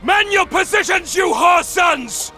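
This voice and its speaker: An actor playing a brutish, aggressive army officer in a video game, barking coarse commands. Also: male voice, extremely high pitch, expressive, unhurried, pushed and bright, raised voice, soft background music, exaggerated voice. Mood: furious commanding.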